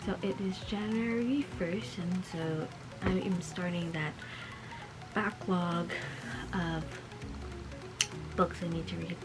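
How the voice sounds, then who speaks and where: A woman's voice: lowish voice, steady tone, slow, pauses often, over music, low-quality recording. A young woman vlogging casually while she gets over a cold, chatty and full of fillers and small laughs. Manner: quiet and sleepy, just woken up. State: recovering from a cold. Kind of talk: casual vlog talk.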